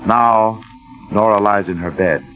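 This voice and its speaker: An actor serving as narrator of a 1940s radio suspense drama, formal, measured and ominous, on a vintage recording. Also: male voice, fairly low pitch, a bit bright, faint music behind, poor audio. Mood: grave, ominous. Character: grave male narrator of a suspense story.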